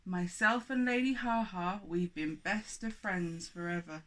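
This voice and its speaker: A woman's voice: lowish voice, measured pace, fairly bright tone, slight background noise. A woman voicing a silly, earnest yak defensively protesting innocence in a children's storybook.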